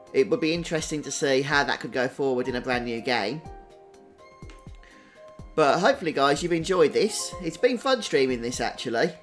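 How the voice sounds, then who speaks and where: A male game streamer with a medium-pitched voice talks in a casual, chatty, upbeat way. Soft game music plays behind his livestream commentary.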